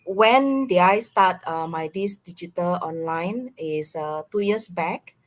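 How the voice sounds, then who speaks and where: A middle-aged woman from Indonesia with an Indonesian accent, speaking haltingly as a guest in a casual live online talk. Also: lowish voice.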